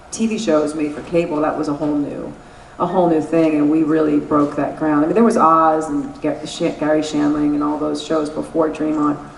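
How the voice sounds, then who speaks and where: New York woman, frank and salty storyteller, low-ish voice, distant echoey recording. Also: darkish tone, slight background noise. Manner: candid, swears freely, laughs while telling stories. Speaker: guest actress. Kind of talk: casual interview at a convention. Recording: echoey convention room, mic at a distance.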